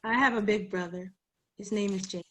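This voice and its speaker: A woman's voice: mid-range voice, fairly even intonation, moderate pace, fairly bright tone, lightly acted. A woman voicing a boastful seven-year-old boy in a table read, blunt and childlike. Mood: smug.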